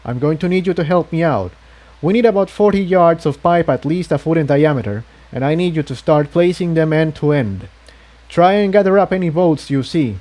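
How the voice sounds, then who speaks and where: A man's voice: on the high side, animated, fairly quick, dark tone, pitched above the performer's own voice, lightly acted. A man voicing an earnest, self-sacrificing male unicorn pony in a storybook reading, talking in a practical, reassuring way.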